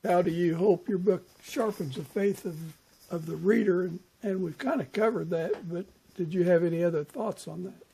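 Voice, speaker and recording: A man's voice: sits high, moderate pace, gravelly, mellow, slightly rough, low-quality recording. A man hosting a podcast, asking questions and chatting in a conversational interview style.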